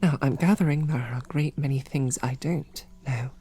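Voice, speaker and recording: A woman playing a composed, sarcastic female royal knight who speaks formally and often softly, close to a whisper, in an audio roleplay. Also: rumbling low, normal speed. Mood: hurt, pleading.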